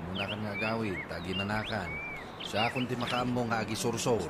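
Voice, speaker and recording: A man's voice: fairly low pitch, fairly even intonation, a little fast, natural voice. A man playing a fussy, teasing Ilocano husband in a radio comedy drama, exclaiming, pleading and repeating himself. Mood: teasing, casual. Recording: noisy radio drama with outdoor ambience.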